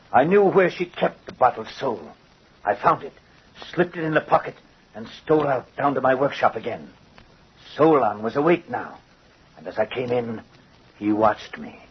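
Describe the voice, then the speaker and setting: An actor playing a vengeful, gloating doll-maker in old-time radio horror, with a theatrical, menacing and mocking manner. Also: male voice, mid-range voice, animated, moderate pace. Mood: confessing. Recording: husky, muffled old radio recording.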